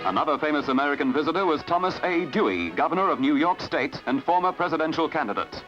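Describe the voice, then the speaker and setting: A man with an Australian accent narrating a newsreel in a brisk announcer style, on a dull old archival recording with music behind. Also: a little high. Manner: brisk announcer delivery with lively intonation.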